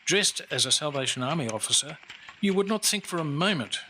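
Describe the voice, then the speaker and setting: An actor playing a boastful, famous Victorian-era detective inspector in an old-time radio drama, formal and theatrical in manner. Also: male voice, fairly high pitch, expressive, brisk, pushed and bright, slight background noise. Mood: self-assured, storytelling. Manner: theatrical, self-assured, formal.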